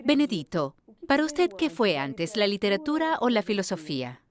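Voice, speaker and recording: A woman dubbing a female interviewer, asking clear, poised questions in a composed way. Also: mid-range voice, sing-song, normal speed, natural voice. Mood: attentive. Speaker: dub voice of an interviewer. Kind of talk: voice-over dub of an interview.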